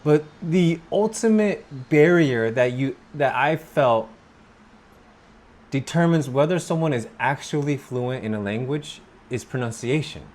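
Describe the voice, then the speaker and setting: A man, from Korea, talking slowly and thoughtfully about his work as an English teacher in a personal vlog. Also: medium pitch, lively intonation, a little mellow. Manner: slow, thoughtful, with pauses and fillers.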